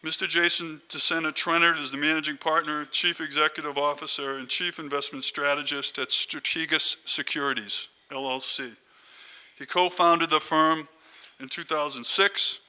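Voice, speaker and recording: A man's voice: on the high side, fairly even intonation, moderate pace, sharp tone, clean tone, low-quality recording. A man hosting a conference, giving a formal, prepared introduction speech. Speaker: conference host introducing keynote speaker.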